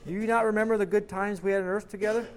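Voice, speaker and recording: A man voicing a cruel, possessive demon who mocks and taunts in a steady storytelling voice. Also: on the high side, fairly quick, natural voice. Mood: taunting. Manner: mocking, taunting, shrieking. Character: mocking, clinging male demon, cruel and possessive.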